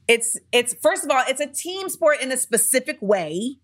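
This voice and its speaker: A woman's voice: sits high, very animated, normal speed, a bit bright. A woman who was once a gymnast, interviewing in a casual, chatty way, swearing now and then and laughing often.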